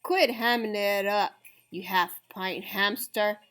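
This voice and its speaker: A woman voicing a mean, bossy male prairie dog in a storybook, with a booming, snarling growl. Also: fairly even intonation, slow pace, fairly bright tone, clean tone. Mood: bossy, irritated.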